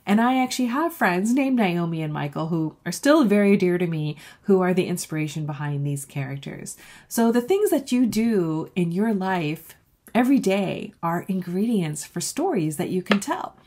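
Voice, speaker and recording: A woman's voice: on the low side, lively intonation, normal speed, a little mellow. A woman author reading a children's story aloud expressively and speaking directly to young listeners. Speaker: author reading their own book.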